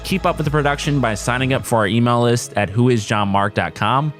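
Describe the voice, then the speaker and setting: A man's voice: medium pitch, brisk, a bit bright, faint music behind. A man reading scripted podcast credits as an announcer.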